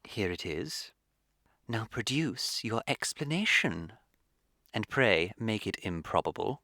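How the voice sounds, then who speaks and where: A witty young English gentleman of leisure in a comic radio play. His voice is low and swoops freely through arch, languid, precisely placed phrases. The sound is close and dry. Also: very animated, slow pace, no room sound, exaggerated voice. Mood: playful.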